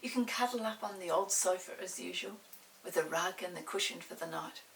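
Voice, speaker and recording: A woman's voice: on the low side, fairly quick, creaky. A woman voicing an eager, earnest young child in a storybook, exclaiming in a raised voice. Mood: cheerful. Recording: distant mic with hiss.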